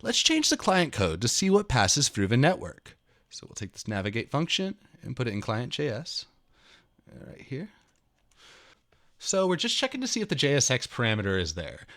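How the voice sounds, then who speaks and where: Man reading a tutorial with very lively intonation. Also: a little high. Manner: emphatic, dramatic read-aloud delivery. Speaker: tutorial host. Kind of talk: reading a written programming tutorial aloud, with commentary. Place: indoor room at a computer.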